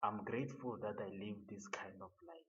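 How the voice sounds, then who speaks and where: A man giving an earnest motivational monologue, halting and often repeating his words. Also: fairly low pitch, fairly bright tone, lo-fi sound.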